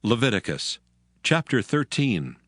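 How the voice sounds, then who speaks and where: A man's voice: medium pitch, very animated, unhurried, a bit bright, no room sound, studio quality. A man narrating an audio Bible in a solemn scripture-reading style.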